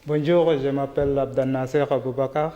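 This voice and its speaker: A man's voice: medium pitch, fairly even intonation, moderate pace, dark tone, muffled recording. A man from Chad with a Chadian accent, a learner of French who searches hesitantly for words. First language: not French. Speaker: language course student. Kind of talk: simple prepared interview answers. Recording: long hall reverb.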